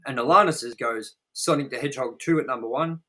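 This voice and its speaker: A man's voice: mid-range voice, a little fast, bright, forceful tone. A man co-hosting a casual, opinionated film review chat, speaking in a blunt, sweary, exaggerating way. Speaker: co-host of a movie review show.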